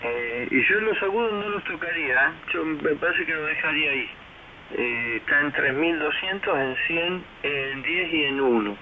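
A man's voice: on the high side, lively intonation, moderate pace. A man, a ham radio operator thinking aloud hesitantly over a shortwave transmission. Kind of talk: casual radio chat while testing audio settings. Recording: narrow tinny shortwave radio, faint hiss.

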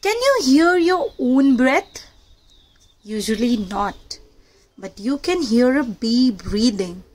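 A woman's voice: on the high side, very animated, slow pace, darkish tone. A woman narrating a children's read-aloud book in a teacherly manner, addressing young listeners directly.

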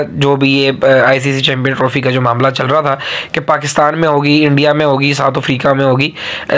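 Higher-voiced Pakistani man giving an update. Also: fairly bright tone. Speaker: YouTube channel host. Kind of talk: informal narrated news update.

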